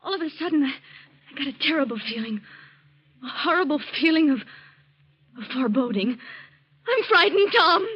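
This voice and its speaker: A high-pitched, frightened woman, gripped by dread. She speaks haltingly and melodramatically, in old radio drama style, on a muffled vintage recording. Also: animated. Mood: terrified. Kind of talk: 1940s radio acting.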